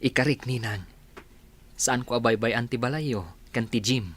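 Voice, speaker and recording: A man's voice: mid-range voice, normal speed, a bit bright, natural voice. A man playing an obedient, eager young caretaker in a Filipino radio comedy drama. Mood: deferential. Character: obedient, eager godson working as gym caretaker.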